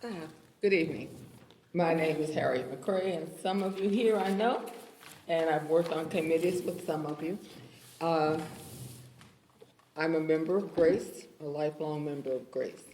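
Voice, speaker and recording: Woman panelist, notably low voice, measured and steady. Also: slight background noise, low-quality recording. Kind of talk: formal panel introduction.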